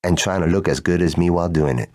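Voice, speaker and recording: An actor playing a wry, blunt, seasoned military pilot comrade in a video game. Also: male voice, sits low, fast talker, darkish tone, no echo, studio quality, lightly acted. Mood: teasing. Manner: blunt, teasing.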